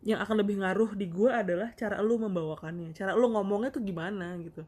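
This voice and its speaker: Young woman cohost, chill, thinks out loud, lots of mm-hmms. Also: mid-range voice, slightly rough. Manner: measured, reflective, many backchannels. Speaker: podcast co-host. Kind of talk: casual chat.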